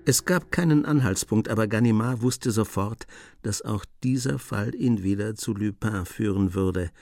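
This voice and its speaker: A man with a low voice narrates a radio crime drama. The delivery is scripted literary storytelling, with faint music behind it. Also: moderate pace, vocal fry, a little mellow, no echo.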